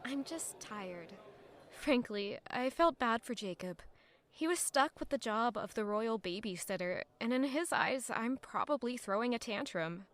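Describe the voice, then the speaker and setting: A high-pitched young female voice in an audio drama , played by a woman. She is weary and bitter, with a defiant edge that turns indignant under pressure. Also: animated, unhurried, fairly bright tone. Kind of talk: audio drama acting with first-person narration.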